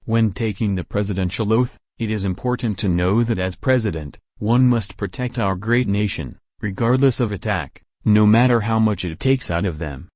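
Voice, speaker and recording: Man playing low-voiced, resolute embattled president. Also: moderate pace, hardly any pauses, a little mellow, no echo, clean studio sound, natural voice. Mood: resolute, defiant. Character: defiant, resolute president addressing a besieged nation. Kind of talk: scripted patriotic speech.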